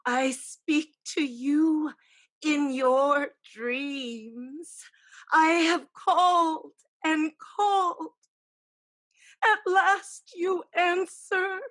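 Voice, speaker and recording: A woman playing an eerie ancestral spirit who is secretly a scheming sorceress, calling in a drawn-out, incantatory way in a staged reading. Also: high voice, steady tone, fairly bright tone, full character voice. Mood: solemn, coaxing.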